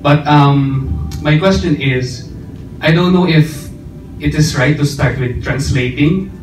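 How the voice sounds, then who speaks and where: A man with a Filipino accent asks a panel a question, pausing often to find his words. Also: fairly high pitch. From Philippines. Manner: hesitant, searching for words. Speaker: conference attendee asking a question. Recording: echoey hall mic from a distance.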